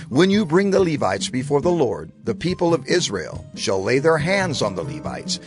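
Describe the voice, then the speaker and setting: A male scripture reader with a medium-pitched voice and very varied intonation. He sounds formal and instructive, with music clearly behind him. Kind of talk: dramatized scripture reading.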